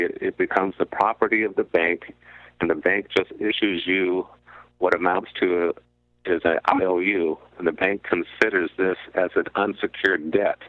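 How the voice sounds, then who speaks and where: A man speaking as a radio guest, explaining in deliberate, halting short phrases over a narrow call-in line. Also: deep voice, no room sound. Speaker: guest commentator on a radio show. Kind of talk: explanatory talk about finance. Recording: muffled narrow phone-like line.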